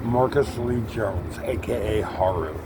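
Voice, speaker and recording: A man's voice: lowish voice, measured pace. A man outdoors pleading urgently and swearing often while talking to an outreach worker. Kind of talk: unscripted street conversation. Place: outdoors on a city street. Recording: street noise, traffic outdoors.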